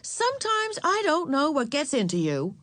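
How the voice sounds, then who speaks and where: Storybook mother, acted by a woman: stern, firm, exasperated, expressive intonation, dry close sound. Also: mid-range voice, moderate pace, no room sound, close to an everyday voice. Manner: scolding, firm.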